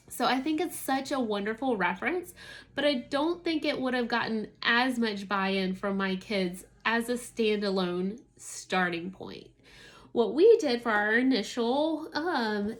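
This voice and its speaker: A woman's voice: fairly high pitch, fairly bright tone. A woman in her thirties giving a casual review as a vlogging mom, talking in a conversational, enthusiastic way. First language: English. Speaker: homeschooling mom reviewing a language curriculum.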